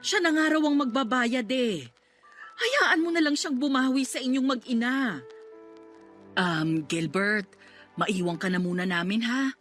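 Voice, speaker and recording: A woman's voice: a little high, lively intonation, measured pace, pauses often, a bit bright, faint music behind. A woman playing a pleading, practical and bossy loyal friend in a radio drama. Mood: urging, firm. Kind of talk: Tagalog radio drama acting.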